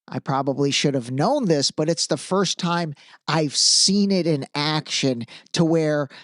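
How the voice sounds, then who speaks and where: A man's voice: a little high, dry recording. Emphatic male podcast host, dry close sound. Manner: informal, emphatic, repeats phrases for emphasis. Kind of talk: casual opinionated commentary on an interview.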